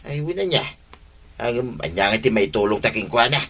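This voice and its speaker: Piercingly bright, boisterous neighbor. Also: male voice, medium pitch, expressive, normal speed. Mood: concerned. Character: helpful, boisterous male neighbor and buddy of the captain. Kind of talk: Ilocano comedy radio drama acting.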